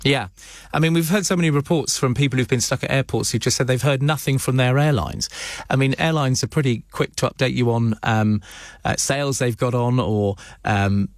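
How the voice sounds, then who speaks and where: A man's voice: mid-range voice, fairly quick, no room sound, clean studio sound. A British man presenting radio news and interviewing live, with hesitant, wordy questioning.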